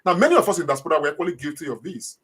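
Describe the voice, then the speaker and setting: A man's voice: a little high, expressive, racing, a bit bright, husky. A middle-aged man with a Nigerian accent, answering interview questions in a hesitant way, repeating words and saying uh often. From Edo State, Nigeria. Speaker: guest.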